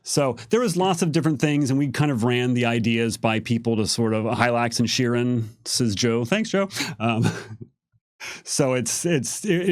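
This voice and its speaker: Guy explaining stuff on a panel, average pitch, casual and conversational, really dry audio. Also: dry recording. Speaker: game designer explaining setting lore.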